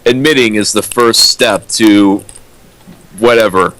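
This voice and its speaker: A man's voice: on the low side, slow pace, fairly bright tone, low-quality recording. Dry-voiced young man mocking a story. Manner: dry, questioning mockery. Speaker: co-riffer. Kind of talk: comedic dramatic reading and riffing.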